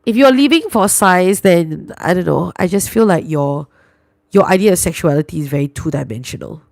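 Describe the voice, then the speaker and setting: A young woman speaks with a Singaporean English accent, chatting loosely with her livestream viewers and answering their comments as they come in. Her voice has a gravelly edge and lively swings in pitch. The sound is dry and close. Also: normal speed, no room sound. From New Zealand and Singapore. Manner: chatty, reacts aloud to comments, sprinkles lah and lor. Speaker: livestream host. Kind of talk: casual livestream chat reading viewer comments.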